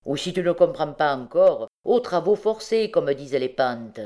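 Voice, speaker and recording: A woman voicing a callous male ex-convict, a rough street villain who talks in a scornful, slangy, offhand way. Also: low voice, expressive, normal speed, warm tone. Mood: mocking.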